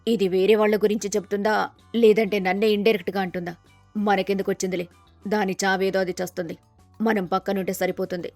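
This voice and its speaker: A woman's voice: medium pitch, flat delivery, fairly quick, a little mellow, no room sound, faint music behind, voice pushed down, natural voice. Woman playing a grumbling older village mother-in-law. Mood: wary muttering. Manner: dismissive, muttering asides.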